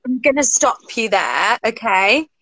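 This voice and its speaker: A young woman with an English accent chats over a webcam from a small room. Her voice sits a little high and the sound is dry and close. She talks in a quick, animated rush, heavy on "like". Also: breathy edge, no room sound, poor audio. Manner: animated, gushing, lots of "like". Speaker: co-host. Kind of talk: casual video-call chat. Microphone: laptop or webcam on a video call.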